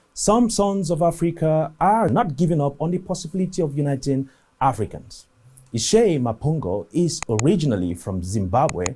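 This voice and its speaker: A man's voice: medium pitch, warm tone, husky. A man hosting a community show, reading a formal scripted intro and asking interview questions. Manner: measured, formal delivery. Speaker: show host.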